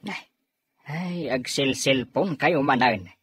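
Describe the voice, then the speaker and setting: A man with an Ilocano Filipino accent playing a gruff, reproachful middle-aged father in a radio drama, with a husky voice. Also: medium pitch, takes it slowly, fairly bright tone, no echo, studio quality, full character voice.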